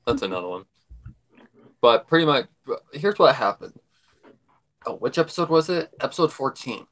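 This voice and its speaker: Male stream guest on a voice call, medium pitch, informal, rambling delivery. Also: very animated, normal speed, fairly bright tone. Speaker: livestream guest. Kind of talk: casual chat over online call. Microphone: online voice call.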